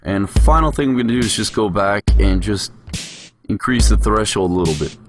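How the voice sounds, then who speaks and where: A man narrating a software tutorial as an instructor, explaining things step by step. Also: on the low side, a little fast. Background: music production sounds, drum hits.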